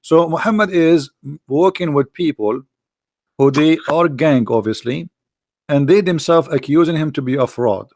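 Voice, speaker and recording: A man's voice: fairly high pitch, normal speed, a little mellow, no echo. A man with a Middle Eastern accent hosting an online debate, losing his voice and pressing his point with rhetorical questions. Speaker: host. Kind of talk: online religious debate on a call.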